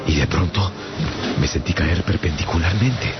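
A male actor playing an afflicted castaway traveler who tells his story earnestly and dramatically in a gravelly voice, in a radio drama. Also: deep voice, normal speed, lightly acted. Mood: tense, recounting. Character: afflicted English castaway man recounting his strange voyages. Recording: dull sound under prominent music. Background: music.

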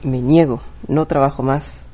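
A whiny, fed-up elf prefect from an amateur radio play, acted by a hobby performer. The voice is dark, husky and fry-laden, full of complaint, on a dull home recording. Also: mid-range voice, steady tone, a little slow, close to an everyday voice. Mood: weary, sulky. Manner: grumbling, complaining.

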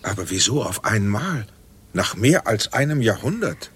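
Casting: a fatherly older general, very low voice, courteous and questioning, radio drama. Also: very animated, moderate pace, slightly rough, heavily acted. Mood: puzzled, curious. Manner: polite, composed, questioning.

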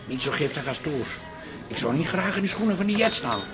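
Blunt barman, high voice that swings about, radio drama. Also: animated, a little fast, a bit bright, faint music behind. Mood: dry. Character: dry, blunt, stolid barkeeper son-in-law. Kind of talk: radio play acting.

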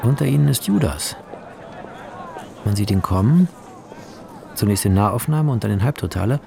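A man's voice: on the low side, lively intonation, normal speed, vocal fry, mellow, a little hiss or hum. A man reading film scene directions as the narrator of a radio play, in clipped, descriptive phrases. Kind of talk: scripted radio drama narration. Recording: music under the voice. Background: music.

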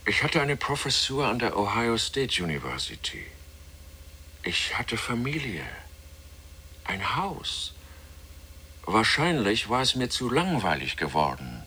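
An actor playing a weary, introspective male astronomer in a radio play, speaking in a halting, musing manner. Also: lowish voice, wildly swooping pitch, bright, forceful tone, a little hiss or hum, heavily acted. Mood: wistful, brooding. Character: weary introspective astronomer, captive on moon mission.

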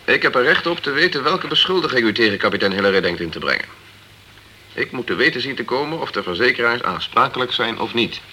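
An actor playing a dogged, straight-talking insurance investigator in a Dutch radio crime drama, direct and clipped. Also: male voice, mid-range voice, animated, quick pace, sharp tone, slight background noise. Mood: insistent.

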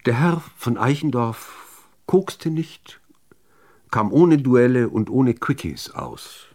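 A man reciting literary poetry, phrasing it in a measured, deliberate way with expressive emphasis. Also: medium pitch. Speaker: reader of poems.

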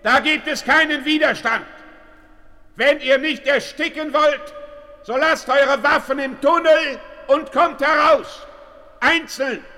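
An actor playing a commanding frontier hero, declaiming with authority in a western radio play. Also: male voice, extremely high pitch, slow, bright, forceful tone, exaggerated voice. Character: commanding western hero giving surrender ultimatum. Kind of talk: 1970s German radio play acting. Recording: long hall echo, calling from afar.

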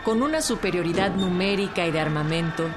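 A woman's voice: lowish voice, animated, a little fast. A woman with a Mexican accent reading scripted documentary narration for radio. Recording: prominent string music underneath.

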